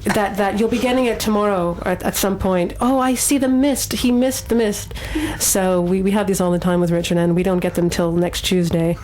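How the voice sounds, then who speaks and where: A woman's voice: medium pitch, fairly even intonation, fairly quick, few pauses, a little mellow, a little hiss or hum, rough recording. A woman hosting a radio show, chatting live and introducing segments. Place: radio studio.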